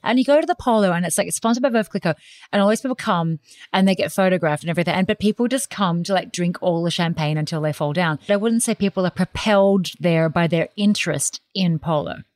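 A woman hosting an interview podcast, animated and teasing as she talks with her guest. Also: lowish voice, quick pace, no room sound. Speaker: podcast host.

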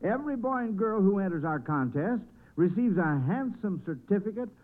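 A man hosting an old-time radio quiz show, addressing children in an animated, folksy, encouraging way. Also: sits high, normal speed. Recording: old radio broadcast, thin phone-like band.

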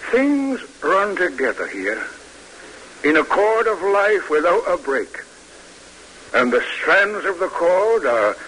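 A fond, gruff old colonel in a vintage radio play. His voice is fairly high and bright, with formal, musing phrases that halt and wander. The old recording carries a light hiss. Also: exaggerated voice, low-quality recording. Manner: halting, musing, old-fashioned formal speech. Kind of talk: 1950s radio drama acting.

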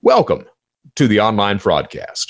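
A man's voice: fairly low pitch, very animated, measured pace, vocal fry, loud music behind the voice. A middle-aged man delivering an informal solo podcast monologue as host, in a theatrical, folksy and emphatic manner with rhetorical questions.

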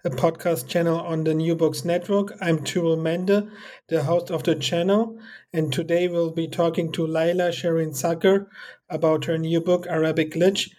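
A man hosting a podcast, welcoming a guest and asking hesitant, halting questions. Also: fairly high pitch, little pitch movement, darkish tone. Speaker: podcast host. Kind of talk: interview host questions.

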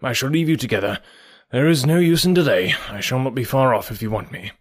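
A reader voicing a genial middle-aged gentleman in an audiobook, brisk and reassuring. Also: medium pitch, no echo, clean studio sound, close to an everyday voice. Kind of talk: audiobook character voice.

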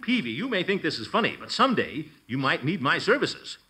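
An actor playing a blustery, pompous middle-aged uncle who fancies himself a detective, in an American radio sitcom. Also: male voice, fairly high pitch, big pitch swings, brisk, sharp tone, breathy edge, exaggerated voice. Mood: self-important. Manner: pompous, chuckling, exclamatory. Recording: noisy old radio broadcast recording.

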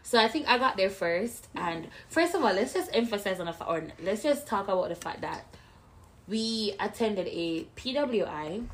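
Woman in her twenties, animated co-host telling stories, mid-range voice. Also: mid-range voice, normal speed, slight background noise, rough recording. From Jamaica. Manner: animated storyteller, playful. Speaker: podcast co-host. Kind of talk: casual chat.

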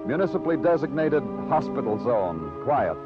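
A brooding American big-city detective, played by a male radio actor, somber, deliberate and terse, in vintage noir style. The sound is dull and old, with loud music underneath. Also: medium pitch, very animated, lightly acted. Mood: brooding, poetic. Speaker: narrator and lead detective. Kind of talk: old-time radio noir acting, poetic narration.

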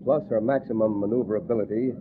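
An actor playing a calm, authoritative army colonel who explains things in a measured way, in a 1940s radio drama. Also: male voice, fairly low pitch, natural voice. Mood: assured. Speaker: air force colonel. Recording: noisy lo-fi old radio broadcast.

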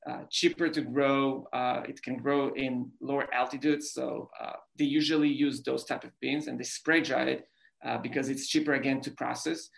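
A young man from Israel with an Israeli accent, answering interview questions in halting casual talk full of fillers. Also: medium pitch, fairly even intonation, creaky, poor audio. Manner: halting, many "uh" fillers and repeated words. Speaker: podcast guest, coffee founder.

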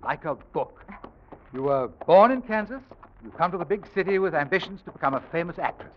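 An actor playing a smooth, scheming young houseguest from England in an old-time radio drama, suave with a threat underneath. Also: male voice, fairly high pitch, expressive, a little fast. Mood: smooth, wooing. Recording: dull, noisy old radio recording.

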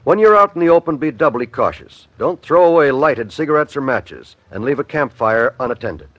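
A man's voice: medium pitch, expressive, fairly quick, a bit bright, dry recording, dull audio. A man announcing on old-time radio, reading a scripted public service message in an earnest, formal, emphatic way.

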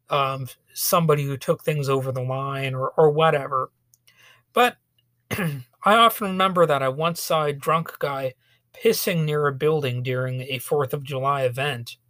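A man with a fairly high voice rants sarcastically through a solo podcast, stumbling over fillers and restarts as he goes. His voice is a touch hoarse from a cold. The sound is close and dry, with no room around it. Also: a bit bright, dry recording. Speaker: podcast host. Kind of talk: solo opinion podcast monologue.

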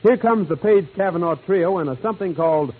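High-voiced vintage American radio emcee. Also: male voice, expressive, fairly quick. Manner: jive-talking, showy patter. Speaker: radio music show host. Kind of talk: 1940s radio announcing. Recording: old, noisy, narrow-band radio broadcast.

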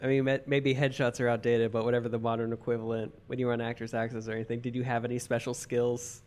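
A man's voice: medium pitch, flat delivery, quick pace, a little mellow. Easygoing male podcast co-host. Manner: easygoing, short agreeing replies. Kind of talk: casual comedic chat. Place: podcast studio.

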